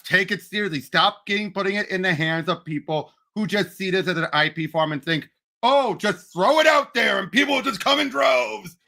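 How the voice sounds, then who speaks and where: A man with a high, creaky voice chats casually as co-host of a film show. He sounds bright and sharp, and states his opinions with emphasis. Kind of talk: casual chat.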